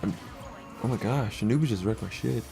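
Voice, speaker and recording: A man's voice: lowish voice, fairly quick, creaky, dark tone, soft background music. A man co-hosting a gaming video with casual, sarcastic banter, teasing his partner.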